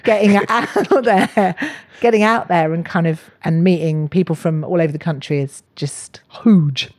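Lowish-voiced middle-aged woman, comic chat. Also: unhurried. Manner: animated, self-deprecating storytelling. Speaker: stand-up comedian, guest.

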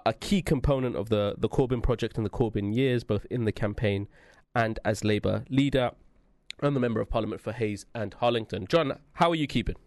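Male radio interviewer, effusive and halting, recorded dry with no room sound. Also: mid-range voice, a little mellow, no echo. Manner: effusive, halting introduction full of hesitations. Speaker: radio show host. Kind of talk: long-form political interview.

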